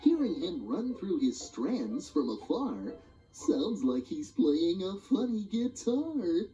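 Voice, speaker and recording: A woman reading a rhyming children's animal alphabet book aloud in a sing-song, expressive way. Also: fairly low pitch, slow pace. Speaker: storybook reader. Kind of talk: children's read-along narration. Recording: dull lo-fi audio with music behind.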